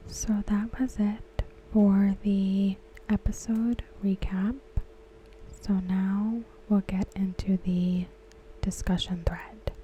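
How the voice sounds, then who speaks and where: A woman whispering softly and slowly as she recaps and discusses a TV episode for an ASMR video. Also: medium pitch, dull audio, slight background noise. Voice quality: breathy. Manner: slow, soft whispering. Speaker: ASMR creator. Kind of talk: soft-spoken ASMR recap.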